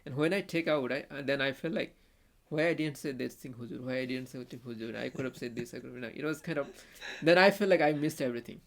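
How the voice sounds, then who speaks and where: A young man with a Bangladeshi accent tells a story as a podcast guest. His voice has a gravelly edge, and his pitch sits in the middle. He speaks haltingly, with many restarts and fillers. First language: Bengali. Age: young adult. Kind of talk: casual conversational storytelling.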